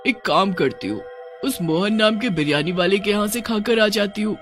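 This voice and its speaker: A woman voicing a gluttonous, gleeful and bossy witch ghost in a cartoon, gloating with drawn-out exclamations. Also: mid-range voice, lively intonation, faint music behind, close to an everyday voice. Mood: restless craving.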